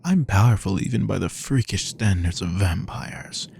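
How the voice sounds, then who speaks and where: A man voicing a possessive, powerful male vampire, speaking slowly with a gravelly voice and frequent whispers, menacing and obsessive, close to the mic. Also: sits low, animated, a little mellow, a little hiss or hum. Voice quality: gravelly, slow, often whispering. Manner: menacing, possessive, drawn-out delivery. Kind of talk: roleplay audio drama.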